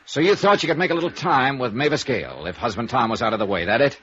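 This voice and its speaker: An actor plays a tough, wry American private investigator of 1950s radio. He is a man with a swooping, animated delivery, clipped and sardonic. The vintage recording sounds muffled. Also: medium pitch, fast talker, slight background noise, close to an everyday voice. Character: cool, wry, hard-boiled freelance insurance investigator. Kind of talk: 1950s radio noir narration and acting.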